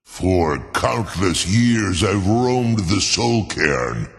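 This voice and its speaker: Casting: mighty old dragon for a fantasy game, very slow, solemn, proud, gravelly with wide swings in pitch. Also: male voice, expressive, full character voice. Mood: solemn, courteous. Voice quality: deep, gravelly. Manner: slow, formal, portentous. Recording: long cavernous reverb.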